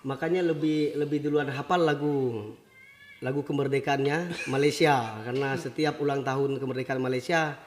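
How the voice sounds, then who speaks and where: A man's voice: mid-range voice, steady tone, moderate pace. A man with a West Kalimantan Indonesian accent and a local first language, telling childhood stories casually as an interview guest. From rural West Kalimantan, Indonesia. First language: a local language of rural West Kalimantan. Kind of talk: casual storytelling about childhood. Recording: noticeable background noise.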